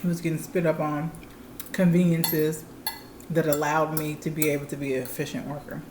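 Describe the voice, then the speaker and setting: A woman's voice: low voice, flat delivery, moderate pace, darkish tone, roomy sound, a little hiss or hum. A woman in her thirties chatting candidly and explaining things plainly to viewers as a channel co-host. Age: 30s. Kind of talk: casual chat.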